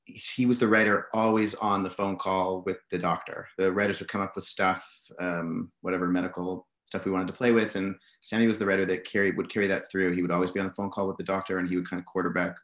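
Low-voiced guy on a video call, laid back, echoey room sound. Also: fast talker. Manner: relaxed, plain-spoken. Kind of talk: video call panel talk.

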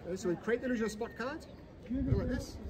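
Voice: mid-range voice, animated, racing. A street magician doing card-trick patter for onlookers on an outdoor city street, playing with words and often checking in with "okay?". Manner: wordplay-heavy patter, keeps checking in with "okay?". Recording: street recording with a crowd nearby.

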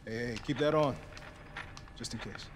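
An actor as a wounded American police officer in a video game. His medium-pitched voice is earnest and urging, that of a selfless protector weakened by injury. Clear noise sits behind him. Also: normal speed, close to an everyday voice. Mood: weary, helpful. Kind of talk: video game cutscene voice acting.

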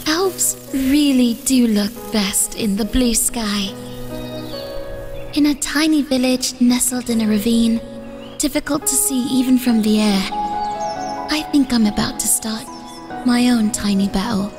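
A shy young girl from a comic dub, voiced by a woman, speaking softly with hesitant restarts. Her voice is a touch high and slow. Music plays underneath. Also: close to an everyday voice. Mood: wistful. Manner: hesitant, soft-spoken. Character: young village girl, timid but resolving. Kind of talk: fan motion comic dub.

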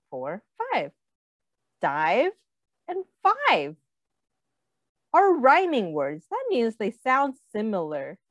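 Slow, sing-song woman reading to children. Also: medium pitch, dry recording. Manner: deliberate, explaining, asks the listener questions. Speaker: teacher reading a picture book aloud. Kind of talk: read-aloud for young children.

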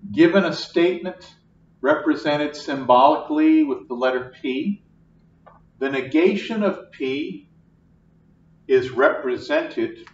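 A man's voice: a little high, animated. An older man, a math lecturer, explaining step by step at a whiteboard in a patient, repetitive classroom manner, recorded from across the room. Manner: slow, patient, repeats each point. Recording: echoey classroom, distant, dull.

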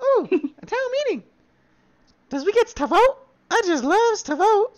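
Squeaky, chirpy tiny cartoon bug. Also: male voice, sing-song, a little slow, heavily acted. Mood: gleeful, eager. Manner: folksy, gleeful, simple grammar.

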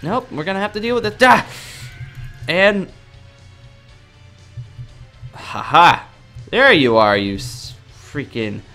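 A young man improvising in-character gameplay roleplay in a cocky, dramatic, excitable manner. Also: fairly high pitch, slow, gravelly, long pauses, soft background music. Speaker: gaming roleplay video creator.

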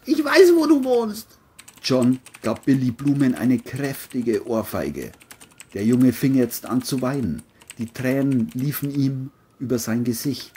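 Woman narrator for a crime audiobook, super deep voice, kinda raspy. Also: measured pace, dark tone. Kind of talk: scripted crime story narration.

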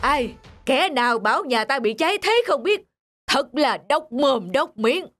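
A sly, greedy fake sorcerer from an animated folk tale. He drawls pompous, grandiose pronouncements over faint background music. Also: very high voice, a little slow, heavily acted. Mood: indignant.

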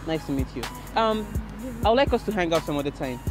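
A man's voice: fairly high pitch, lively intonation, quick pace, over music. A young man hosting a casual street interview outdoors, playfully approaching strangers with friendly questions. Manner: playful, loud shouts to camera, then friendly approach to strangers. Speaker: street interviewer and prank host. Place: outdoors on city streets and a harbor.

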